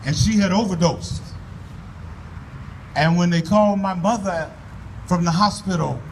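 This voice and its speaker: A man giving an earnest, folksy address to a crowd at an outdoor rally in a park, speaking loudly into a microphone and urging people to come closer. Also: high voice, measured pace. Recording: voice from far off the mic, faint background noise.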